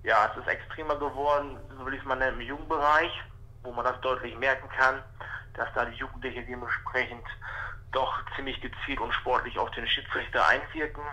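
Male, thirties. Very sharp, bright voice, mid pitch, unscripted interviewee speaking over the telephone. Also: steady tone, fairly quick. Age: 30s. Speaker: football referee, interview guest. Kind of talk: spontaneous phone interview. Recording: telephone line.

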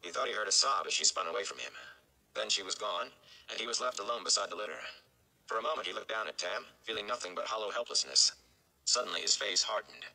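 A man's voice: fairly low pitch, expressive, quick pace, gravelly, bright, forceful tone, low-quality recording. A man, narrating a story from a script in a dramatic style. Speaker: audiobook-style reader. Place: small indoor room.

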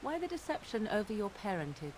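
An aloof, probing adventurer in a video game, voiced by a woman. Her formal, needling lines swing widely in pitch. Clear hiss and ambience sit behind her. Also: sing-song, fairly quick, natural voice. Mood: cool, needling. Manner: cool, needling, formal phrasing. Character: aloof, probing, sharp-tongued woman companion. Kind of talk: video game character acting.

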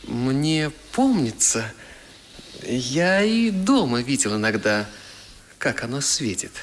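An actor playing a polite, candid young English gentleman in a radio drama, answering with courteous hesitation. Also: medium pitch, very animated, takes it slowly, slight background noise. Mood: hesitant, dry. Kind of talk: Soviet 1970s radio drama acting.

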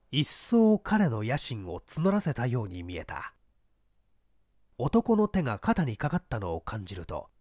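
A man narrates literary mystery fiction in a theatrical, suspenseful way. His pitch is middling but moves expressively through each deliberate phrase, and the sound is close and dry. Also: no echo. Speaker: audiobook narrator, stage actor.

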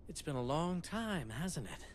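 A proud, aloof magician with a haughty, measured delivery. His pitch moves in lively swings, and a faint rumble sits beneath the game audio. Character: proud, aloof wealthy magician, adult man.

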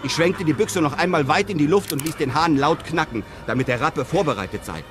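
A man playing a brave, composed European traveller who narrates his desert adventure in measured, literary sentences. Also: on the high side, expressive, over music, lightly acted. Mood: composed, suspenseful. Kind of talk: scripted first-person radio drama narration.

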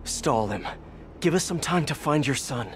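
Man playing an earnest young American superhero, higher-pitched. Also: expressive, moderate pace, slight background noise, natural voice. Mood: earnest, urgent. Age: young adult. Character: earnest young male masked superhero, wry, caring. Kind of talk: video game cutscene acting.

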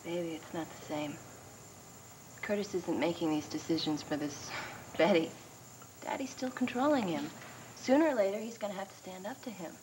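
A woman's voice: on the low side, normal speed, pauses often, noisy background, natural voice. An actress playing a caring young woman on a 1990s daytime soap who pleads earnestly about her brother. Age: young adult. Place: indoor studio set. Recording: soap scene with music behind.

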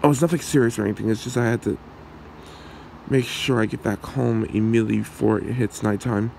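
A man acting a shy, lovestruck young man with a hidden secret in an ASMR roleplay, speaking haltingly and awkwardly in a low, gravelly voice. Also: steady tone, a little mellow, a little hiss or hum, lightly acted. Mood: uneasy.

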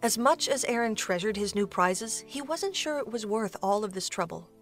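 A woman serves as narrator of a fantasy audio drama. Her voice sits at a middle pitch, delivering scripted storytelling with faint music underneath. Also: expressive, normal speed.

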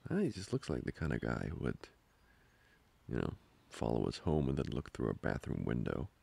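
A man playing a jealous, protective young boyfriend in an improvised ASMR roleplay, grumbling with halting, sarcastic asides. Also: sing-song, mellow, heavily acted. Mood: indignant. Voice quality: very deep, gravelly, husky.

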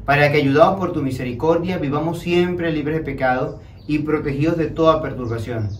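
A young man speaking as a priest, reciting liturgical prayers in a solemn, measured, declamatory way. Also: a little high. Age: young adult. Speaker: priest celebrating Mass. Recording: echoey room, distant mic, background noise.

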